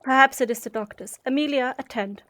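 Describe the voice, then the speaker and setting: A woman's voice: high voice, brisk, fairly bright tone. A woman playing a stern, haughty, protective mother in a period audio drama, with a commanding and formal manner. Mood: suspicious, commanding.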